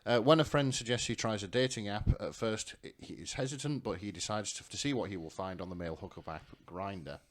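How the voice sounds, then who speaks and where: A man hosting a podcast in casual chat, speaking in a halting, hesitant way. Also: lowish voice. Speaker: podcast host.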